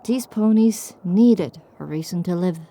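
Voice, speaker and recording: A woman's voice: lowish voice, a little slow, mellow. A woman playing a reflective pony survivor, narrating her own story in a dramatic audiobook style. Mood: hopeful. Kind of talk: dramatic first-person scripted narration.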